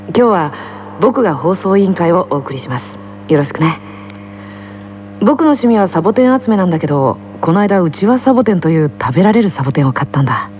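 An actor playing a gentle, polite schoolboy who delivers a soft-spoken, friendly scripted school broadcast. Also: male voice, high-pitched. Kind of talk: scripted in-character radio monologue. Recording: dull lo-fi radio audio, faint noise.

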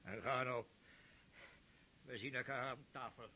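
An actor playing a stern, mocking older aristocratic father in a radio drama, with a husky voice and scornful laughs. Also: mid-range voice, steady tone, measured pace, sharp tone, slight background noise.

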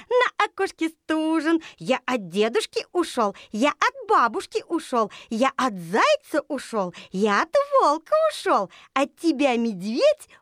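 A woman's voice: sits high, dry recording, clean studio sound. A woman voicing a small, boastful runaway bun in a children's audio play, chanting in a sing-song manner. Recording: music playing behind.

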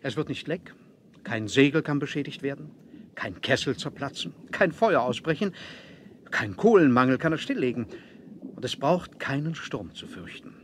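A man acting as a mysterious, proud submarine captain in a vintage radio play. He speaks at a middle pitch, with formal courtesy and complete self-assurance. The old vinyl transfer carries clear noise. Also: lively intonation, moderate pace, fairly bright tone, close to an everyday voice. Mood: proud, assured. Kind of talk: 1970s German adventure radio play acting.

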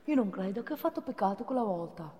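A woman's voice: medium pitch, animated, quick pace, mellow, close to an everyday voice. An actress playing an earnest, naive provincial policewoman in a theatrical comic monologue, prim and confiding, with a northern Italian accent. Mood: naive, wistful confiding. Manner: prim, confiding, emphatic when alarmed. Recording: reverberant theatre hall.

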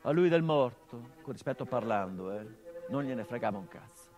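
Male actor doing a grieving son, stage monologue, mid-pitched voice, reflective, bitter edges. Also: lively intonation, normal speed, music in the background, lightly acted. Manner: intimate, reflective, with sudden bitter emphasis. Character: grieving grown son addressing his murdered father. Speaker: stage actor in a radio play. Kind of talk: theatrical monologue.

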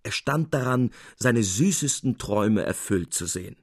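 Male audiobook narrator for classic fiction: mid-pitched, expressive, measured, recorded very dry. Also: slightly rough, no room sound. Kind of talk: literary scripted narration.

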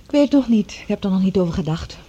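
A determined daughter in a radio drama. Her voice is mellow and a little husky, with lively swings of pitch, polite yet insistent. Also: quick pace, dull audio. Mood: polite, reserved. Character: determined, indignant daughter of a scientist, politely stubborn. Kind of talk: radio play acting, conversational.

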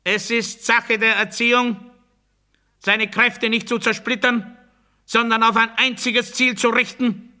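Ein Schauspieler, der in einem Hörspielmonolog einen fanatischen militaristischen Redner spielt, pompös und nachdrücklich deklamierend. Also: male voice, very high voice, little pitch movement, a little slow, exaggerated voice. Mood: strident, fervent. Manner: pompous, emphatic, swinging from hushed to strident. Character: fanatical militaristic male orator declaiming rigid dogma. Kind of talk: German radio play monologue, oratorical declamation.

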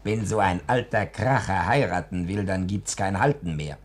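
A man's voice: low-pitched, moderate pace, close to an everyday voice. A man playing a wry, folksy chronicler in a 1940s German radio drama, telling the tale ornately and tongue-in-cheek. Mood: teasing. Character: wry, folksy male chronicler addressing good people. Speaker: narrator in a radio play.

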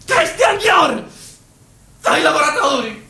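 A bombastic, sarcastic revolutionary bigwig, high-voiced and swooping wildly as the words are shouted out. The theatrical declamation echoes in the room. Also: female voice, sing-song, slow, full character voice. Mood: gleeful, mocking. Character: bombastic, mocking, exuberant revolutionary party boss. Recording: echoey room with faint music.

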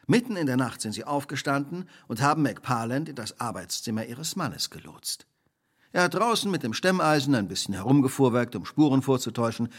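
Male actor as shrewd detective, theatrical, highly varied melody, precise diction, formal, relentless, radio drama. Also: close to an everyday voice.

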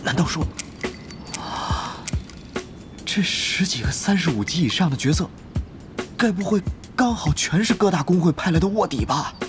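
Jumpy guild spy, male, musing, exclamatory, lively intonation, audio drama, background music. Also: high voice, normal speed, long pauses. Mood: puzzled, musing. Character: suspicious, jumpy male undercover guild spy in online game. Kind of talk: audio drama acting with inner monologue.

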